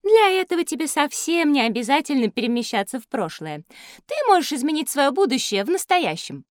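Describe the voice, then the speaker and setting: A woman's voice: sits high, big pitch swings, a little fast, no room sound, studio quality. A woman voicing a sensible, clever schoolgirl in an educational audio drama for children. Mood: knowing, teasing. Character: sensible, clever schoolgirl, gently lecturing her friend.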